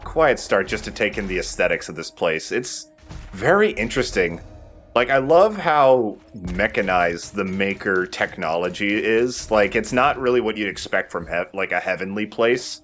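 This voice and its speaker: A man's voice: on the low side, lively intonation, normal speed, fairly bright tone. A man casually commentating as he plays a video game, rambling, joking and swearing. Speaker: let's play host, playing the game. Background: game soundtrack music.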